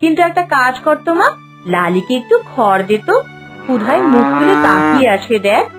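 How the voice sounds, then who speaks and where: Woman acting village mother, loving, coaxing, very expressive pitch, cartoon style, clear music underneath. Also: normal speed. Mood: tender, coaxing. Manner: doting, coaxing. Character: loving, gentle village mother of a young girl.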